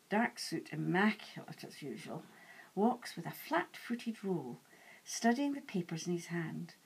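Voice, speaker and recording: A woman author reading her own novel aloud in an animated, dramatic first-person storytelling style. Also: fairly low pitch, creaky, slightly rough, rough recording. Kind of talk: expressive audiobook-style first-person narration.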